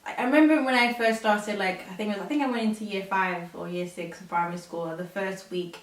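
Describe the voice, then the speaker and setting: Late-twenties woman from Nigeria, relaxed storyteller, mid pitch, roomy sound off mic. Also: fast, far from the mic. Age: late 20s. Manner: relaxed storytelling, laughs often. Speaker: guest. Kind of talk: casual chat.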